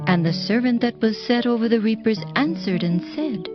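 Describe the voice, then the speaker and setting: A woman with a fairly high voice narrates scripture. Her delivery is solemn, formal and deliberate. Clear music plays behind her, and the recording is a little dull. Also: animated.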